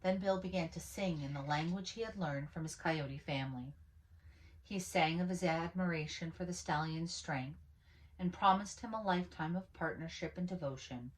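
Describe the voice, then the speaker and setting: A woman reading a picture book aloud as a storyteller, with animated, dramatic emphasis on key words. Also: on the low side, normal speed, rough recording. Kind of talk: expressive children's story read-aloud.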